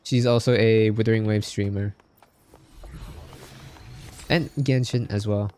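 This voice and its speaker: A man's voice: lowish voice, steady tone, a little slow, warm tone, slight background noise. A young man chatting casually on a game livestream in a friendly, laid-back, talkative way. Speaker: game streamer. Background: game music.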